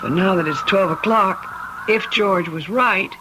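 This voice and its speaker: A woman's voice: medium pitch, unhurried, background noise, close to an everyday voice. An actress playing an anxious but practical woman traveler, earnest and imploring, in an old-time radio drama. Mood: worried, earnest.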